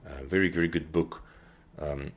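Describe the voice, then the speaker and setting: Deep-voiced man earnestly teaching scripture. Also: fairly even intonation, moderate pace. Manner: earnest, searching for words as he goes. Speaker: podcast host and Bible teacher. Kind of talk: unscripted teaching talk.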